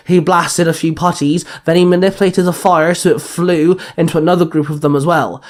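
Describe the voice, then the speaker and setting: A man reading out scripted action fiction as a narrator, now and then stumbling over a word and correcting himself. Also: fairly high pitch. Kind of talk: scripted story narration with acted dialogue.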